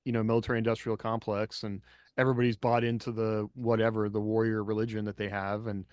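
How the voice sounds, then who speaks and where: A man's voice: fairly low pitch, flat delivery, quick pace, a little mellow, clear, resonant tone, no echo, studio quality. A man speaking as a podcast co-host, thinking aloud informally in a casual panel discussion.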